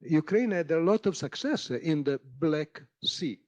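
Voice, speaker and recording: A man moderating a conference panel, pausing often with filler sounds as he introduces the next speaker. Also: fairly high pitch, animated. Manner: halting, many filler sounds.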